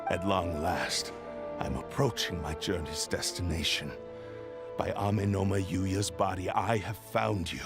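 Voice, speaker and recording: An actor voicing an arrogant, manic sword spirit in a video game, making grandiose and menacing declarations. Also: male voice, sits low, steady tone, measured pace, over music. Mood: smug, sinister. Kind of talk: video game villain voice acting.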